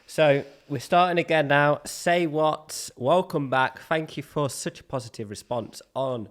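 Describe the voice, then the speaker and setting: A man's voice: a little high, a little slow. A man co-hosting a casual podcast with his wife, rambling and self-deprecating. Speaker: podcast co-host. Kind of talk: casual chat.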